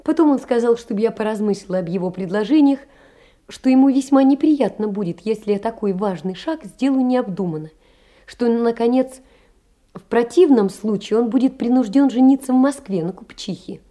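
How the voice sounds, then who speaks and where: An actress playing a poor, earnest young woman confiding in a dear friend, in a measured audio-play monologue. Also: a little high, mellow, lightly acted. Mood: distressed, earnest. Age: young adult.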